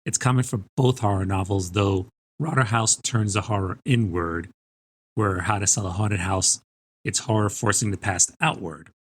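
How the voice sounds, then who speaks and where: A man's voice: lowish voice, normal speed, husky. A man co-hosting a podcast, talking conversationally about books. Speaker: book podcast co-host. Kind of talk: conversational podcast book talk.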